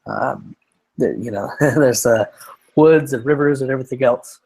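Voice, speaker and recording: Man, scratchy tired voice after a lung infection, medium pitch, rambling co-host chat, lots of restarts. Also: moderate pace, vocal fry, a little mellow, slightly rough. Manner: meandering, many restarts and fillers. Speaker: podcast co-host. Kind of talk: casual rambling conversation.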